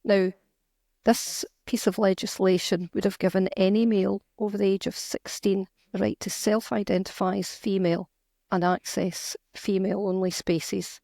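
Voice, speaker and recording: A woman's voice: mid-range voice, flat delivery, moderate pace, creaky, warm tone, dry recording, clean studio sound. A middle-aged Scottish woman, an author talking earnestly and emphatically in a conversational interview, with frequent restarts. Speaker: author and guest.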